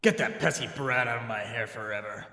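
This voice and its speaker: A man's voice: mid-range voice, brisk, sharp tone. An actor playing a callous, commanding father who scorns his own son, in an audio drama. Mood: contemptuous. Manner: harsh, contemptuous. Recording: echoey hall heard from far off.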